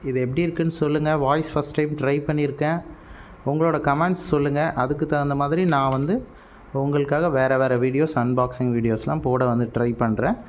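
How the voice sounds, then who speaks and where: A man's voice: on the high side, fast. A man with a Tamil Nadu accent explains things casually in an unboxing vlog, dropping English words into his speech. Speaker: product reviewer. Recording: noticeable steady background noise.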